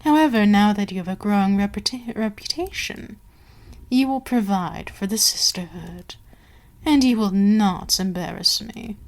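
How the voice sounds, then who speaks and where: A woman playing an imperious, seductive and menacing vampire queen in an ASMR audio roleplay, haughty and deliberate, with some words sinking into a whisper. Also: mid-range voice, natural voice. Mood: commanding, menacing. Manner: slow, deliberate, haughty, commanding, dropping into whispers.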